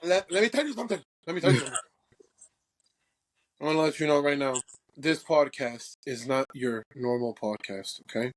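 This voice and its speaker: Cocky young guy from croatia hosting a football podcast, kinda high voice, swears a lot. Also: fast. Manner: brash, boastful, swears freely. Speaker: podcast co-host. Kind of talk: casual sports chat.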